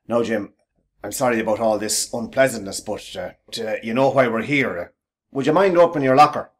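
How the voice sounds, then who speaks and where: Radio play manager male actor: mild-mannered, courteous, uneasy, halting delivery, average male pitch. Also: lightly acted. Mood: polite, uneasy. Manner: hesitant, polite. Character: mild, apologetic manager who defends his worker.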